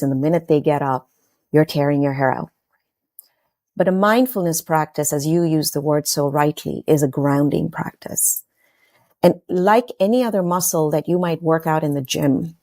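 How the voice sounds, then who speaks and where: A woman's voice: low voice, dark tone, no room sound. A woman in her forties from Kenya, a podcast guest telling her personal story in a reflective, earnest way with frequent fillers and restarts.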